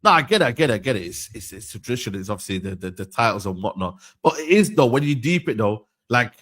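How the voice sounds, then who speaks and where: A man's voice: medium pitch, sing-song, fast talker, fairly bright tone. A man, arguing about football in a casual chat, rambling and repeating himself as he stumbles over his words. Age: 30s. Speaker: podcast host. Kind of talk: heated casual football debate.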